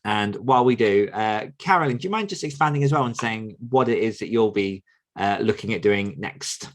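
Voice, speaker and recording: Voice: medium pitch, fairly quick. A podcast host chatting casually and moderating a group discussion on an online call.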